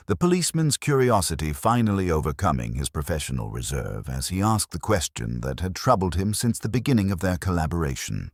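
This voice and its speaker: A man narrating a scripted mystery story in a measured, formal storytelling manner. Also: sits low, dry recording, clean studio sound. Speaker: audiobook narrator.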